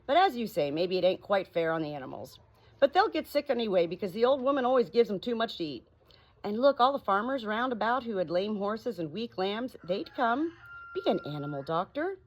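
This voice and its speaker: A woman's voice: medium pitch, very animated, fairly quick, lo-fi sound, pitched above the performer's own voice. A woman voicing a folksy, uneducated male meat seller with a scheming, persuasive, colloquial manner for a storybook. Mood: coaxing.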